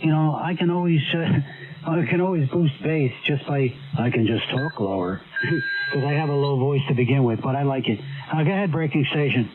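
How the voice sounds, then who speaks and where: A man on a ham radio link, chatting casually and relaxed about his microphone setup. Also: on the high side, moderate pace, background noise. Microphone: boom mic with foot pedal. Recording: tinny radio signal with background chatter.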